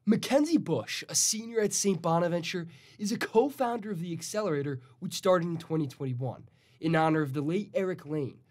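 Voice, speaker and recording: A man's voice: on the high side, fairly quick, darkish tone, no echo, studio quality. A young American man delivering broadcast news as a student TV reporter, in a formal announcer-like manner. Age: young adult.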